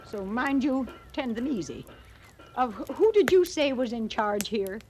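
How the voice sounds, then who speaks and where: Bossy, high-pitched frontier matron. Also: female voice, expressive, unhurried. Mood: indignant, bossy. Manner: imperious, scolding. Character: bossy, indignant middle-aged frontier traveler and mother. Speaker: stagecoach passenger. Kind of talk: old-time radio western acting.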